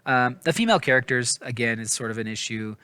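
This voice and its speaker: A man's voice: medium pitch, quick pace, sharp tone, clear, resonant tone, dry recording. Casual rambling man, dry close sound. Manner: hesitant, stumbling, self-deprecating; informal, hedging, apologetic. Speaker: book review vlogger. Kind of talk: casual rambling book review.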